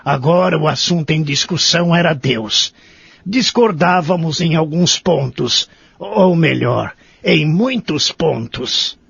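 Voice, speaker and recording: An actor playing a doubting, grumpy old man in an audio drama, speaking formally and reflectively with a husky, creaky voice. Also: on the high side, takes it slowly, a bit bright, dry recording, muffled recording, full character voice. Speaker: narrator and lead character. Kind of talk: audio drama acting and narration.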